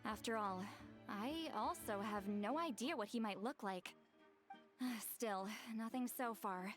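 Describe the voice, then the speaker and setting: A woman's voice: fairly high pitch, slow, soft background music. A woman playing a proud, teasing, dignified elder in a video game, speaking with wry confidence. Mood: composed, serious. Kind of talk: video game character acting.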